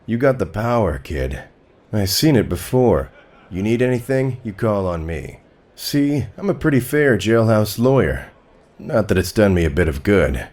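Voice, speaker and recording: A man's voice: fairly low pitch, expressive, a little slow, a little mellow. A man playing a streetwise, ingratiating American convict in a comic dub, talking in a colloquial, wheedling manner. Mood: ingratiating, sly. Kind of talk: dramatic comic-dub acting.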